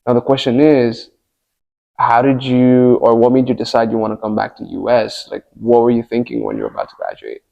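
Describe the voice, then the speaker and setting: Brief: woman host, extremely low voice with gravelly fry, muffled lo-fi recording, short encouraging replies. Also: expressive, a little fast, dark tone. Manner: brief affirmations, curious questions. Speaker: interviewer, host. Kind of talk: casual interview chat. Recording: dull lo-fi sound.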